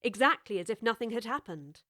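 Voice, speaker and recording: A woman narrating a storybook with clear, expressive scripted storytelling. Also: on the high side, fairly quick, dry recording, studio quality.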